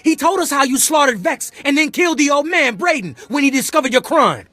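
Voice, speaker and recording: A woman's voice: sits high, lively intonation, a little fast, fairly bright tone, dry recording. A woman playing a hot-headed, vengeful gangster in a video game, aggressive and confrontational. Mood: furious accusation. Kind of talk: video game cutscene acting.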